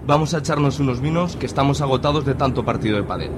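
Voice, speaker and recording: A man's voice: medium pitch, racing, background noise, lightly acted. A man acting a coarse, nosy middle-aged conservative father with a Spanish accent in a radio drama. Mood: shocked. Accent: Spain. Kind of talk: colloquial radio drama dialogue.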